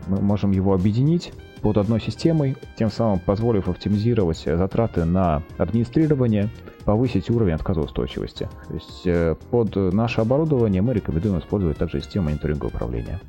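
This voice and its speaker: A man with a low and very mellow voice speaks as a company guest on an informal interview show. He presents in businesslike, sales-like phrasing. Faint music sits underneath. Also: lively intonation, quick pace, lo-fi sound. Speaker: company representative, project manager, interview guest. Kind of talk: informal product presentation and answers.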